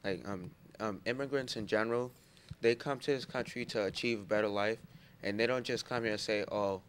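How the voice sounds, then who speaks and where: A man's voice: lowish voice, fairly even intonation, a little fast, slight background noise. A young man, a student, giving an earnest, casual opinion in a group discussion. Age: young adult.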